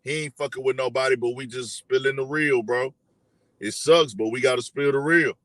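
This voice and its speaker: A young man hosting a casual commentary stream, animated and repetitive, often asking the audience questions. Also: medium pitch, normal speed, no echo. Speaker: commentary show host. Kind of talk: casual live commentary to viewers.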